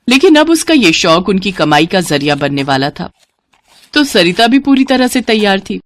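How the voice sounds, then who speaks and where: A woman narrates a scripted story in a highly animated voice. Her pitch moves widely from phrase to phrase, shaping each sentence as a storyteller would.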